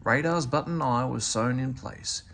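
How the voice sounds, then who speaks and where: A man reading a children's storybook aloud as an expressive narrator. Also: mid-range voice, a little slow, gravelly, a little hiss or hum. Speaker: storybook reader.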